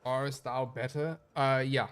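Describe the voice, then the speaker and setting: A man's voice: medium pitch, flat delivery, a little slow, smooth. Laid-back rambling male streamer, slightly stuffy. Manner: laid-back, rambling, reads chat aloud and answers. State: a little stuffy, slightly nasal. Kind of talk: casual live-stream chat while gaming.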